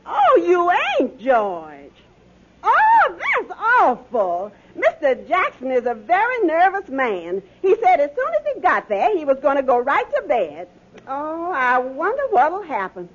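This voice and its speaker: A woman playing an excitable, fretful wife in a 1950s radio sitcom, exclaiming in a folksy dialect. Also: high-pitched, a little slow, full character voice. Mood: dismayed. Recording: dull vintage radio recording.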